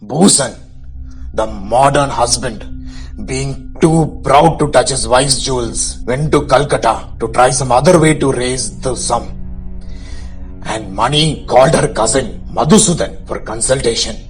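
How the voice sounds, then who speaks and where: Radio play narrator , a man: mid-range voice, literary and measured delivery, music underneath. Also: medium pitch, natural voice, poor audio. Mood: measured storytelling. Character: storyteller recounting a tragic marriage.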